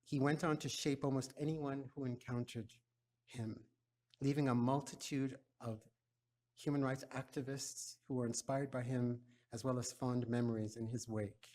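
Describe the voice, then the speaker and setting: A man's voice: medium pitch, level tone, darkish tone. Solemn man giving a eulogy. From Ghana. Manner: measured, solemn delivery. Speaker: eulogy speaker. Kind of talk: memorial eulogy.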